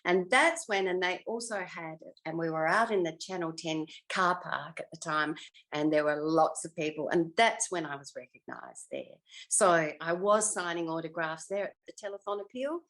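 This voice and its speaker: A woman's voice: fairly low pitch, expressive, moderate pace, a bit bright. An older woman with an Australian accent reminisces casually as an interview guest, modest and often trailing off mid-thought. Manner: self-effacing, rambling, trailing off mid-thought. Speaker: interview guest, former television actress.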